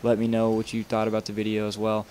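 A young man with a somewhat low voice narrates a game-building tutorial over footage. He talks loosely and casually, trailing off, restarting sentences and padding with fillers. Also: monotone, quick pace, darkish tone, a little hiss or hum. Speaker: video game tutorial maker. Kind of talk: rambling tutorial voice-over while playing.

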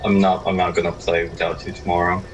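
A man's voice: low-pitched, monotone, fast, crowd noise, rough recording. A young man playing a game with a friend, laid-back and giving brief replies. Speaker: co-op player guest. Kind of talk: casual gameplay chat.

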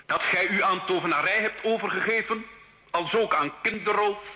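Man acting a stern inquisitor, high voice, echoing hall. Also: moderate pace, lightly acted. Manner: formal, declamatory, accusing. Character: stern, solemn inquisitor presiding over a church trial. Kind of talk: old-fashioned radio drama acting. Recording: hall-like echo, dull old radio recording.

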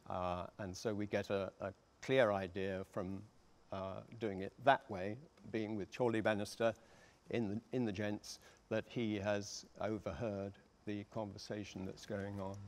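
Older man, low halting voice. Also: flat delivery. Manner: halting, deliberate, hesitant. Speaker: panellist, radio adapter. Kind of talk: explanatory panel talk. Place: theatre stage, seated panel. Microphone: lapel mic.

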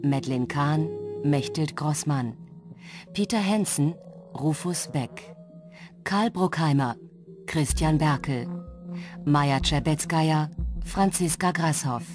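Slow low-voiced woman reading credits. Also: over music. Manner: slow, measured. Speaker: announcer. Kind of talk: credits announcement.

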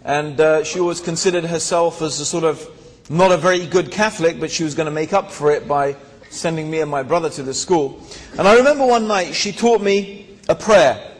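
A man tells his own story to a seated crowd in a large hall, with fillers and rhetorical asides along the way. His voice sits somewhat high for a man. A handheld mic picks him up, and the big room adds a long reverb tail. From born in Tanzania, raised in England. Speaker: speaker. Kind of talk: personal story talk to an audience. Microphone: handheld microphone.

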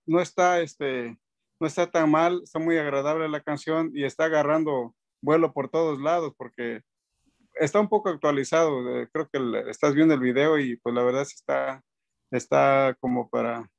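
Man, 50s, gravelly voice, webcam video call, rambling casual chat, fillers. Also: a little high. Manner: relaxed, rambling, frequent fillers and restarts. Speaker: band keyboardist, guest. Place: indoor room at home.

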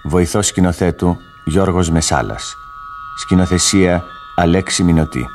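Deep-voiced male radio announcer over music. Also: a little mellow. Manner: formal, measured. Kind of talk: scripted credits announcement.

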